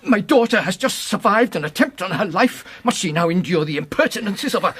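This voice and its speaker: An actor playing a protective older father in a radio drama, formal and haughty, with a very husky, breathy voice. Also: high voice, expressive, a little fast, a bit bright, heavily acted. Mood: indignant. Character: protective, indignant older gentleman, anxious father.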